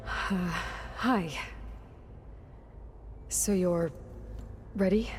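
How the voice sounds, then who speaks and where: A determined young heroine in a game cutscene. She is plain-spoken and practical, getting straight to business, with music clearly heard underneath. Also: on the low side, drawn-out words. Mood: direct, focused. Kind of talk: scripted game cutscene dialogue.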